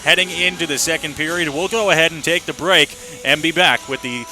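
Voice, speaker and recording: A man's voice: a little high, a little fast, pushed and bright. A man working as a play-by-play announcer, giving live hockey commentary on the radio.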